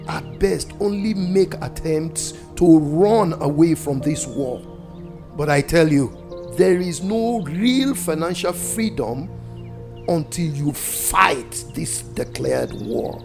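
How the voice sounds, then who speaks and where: The fervent, creaky-voiced Nigerian brother in a gospel radio play who slowly rallies everyone to spiritual battle over music. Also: male voice, a little high, full character voice. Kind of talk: gospel audio drama acting.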